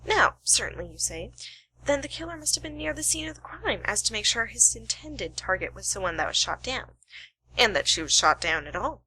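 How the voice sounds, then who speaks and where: A woman's voice: fairly high pitch, sing-song, a little fast, bright, forceful tone, breathy edge, full character voice, poor audio. A woman playing a shrewd, self-assured detective in an amateur radio play, explaining with dramatic deliberation. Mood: assured, revealing.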